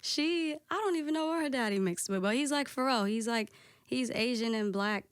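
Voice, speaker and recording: A woman DJ guesting on a podcast, talking casually and candidly with a soft voice, peppering her talk with "like" and swearing. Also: on the high side, moderate pace, smooth, dry recording, studio quality. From United States. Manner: candid, lots of "like" and profanity.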